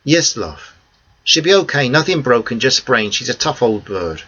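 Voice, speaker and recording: A man's voice: mid-range voice, very animated, normal speed, breathy edge, heavily acted. A man doing a kindly homely old father. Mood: reassuring. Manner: gentle, homely reassurance. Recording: dull lo-fi recording.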